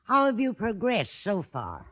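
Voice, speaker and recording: A woman's voice: mid-range voice, huge pitch swings, unhurried, fairly bright tone, slightly rough, full character voice. An actress playing a kindly, scatterbrained older landlady in a 1950s radio sitcom, fussy and sweetly oblivious.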